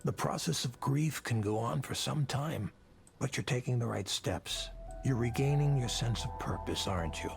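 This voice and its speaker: An actor playing a weary, drunk, self-loathing male tech executive in a video game, with measured, gravely earnest delivery. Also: mid-range voice, faint music behind, natural voice. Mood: soothing, persuasive. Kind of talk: visual novel voice acting.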